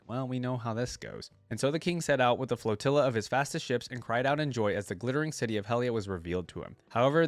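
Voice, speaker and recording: A man's voice: mid-range voice, dry recording. A man hosting a reaction video, moving between casual commentary and reading aloud.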